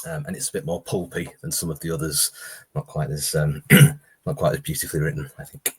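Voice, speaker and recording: A man's voice: extremely low pitch, fairly even intonation, a little fast, breathy edge. A man who is an author, giving a live reading of his own pulpy horror story.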